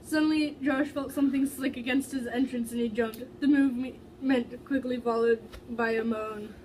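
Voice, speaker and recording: Female reader, high-pitched and giggly, dramatic amateur storytelling with stumbles, recorded away from the mic. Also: steady tone, moderate pace, darkish tone. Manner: giggly, stumbling over words. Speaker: fan fiction reader. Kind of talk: dramatic reading aloud of fan fiction, with casual giggly asides. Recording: distant mic with faint background noise.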